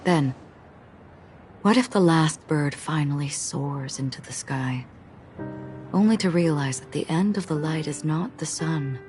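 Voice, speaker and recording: A woman playing a solemn, contemplative woman in a video game, speaking in a measured, grave, poetic way. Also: sits low. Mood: solemn, pensive. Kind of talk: dramatic video game monologue. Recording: loud music behind.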